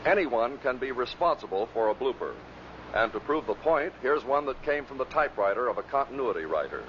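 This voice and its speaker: A man's voice: mid-range voice, animated, a little fast, a bit bright, slight background noise. Male narrator on a dull vintage recording. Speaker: narrator introducing radio bloopers. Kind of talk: scripted narration.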